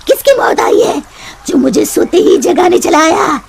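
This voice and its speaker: Woman playing a haughty cackling river witch, high-pitched. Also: very animated, a little slow, creaky, darkish tone, heavily acted. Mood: bossy, irritated. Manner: imperious, taunting. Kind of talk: Hindi cartoon voice acting.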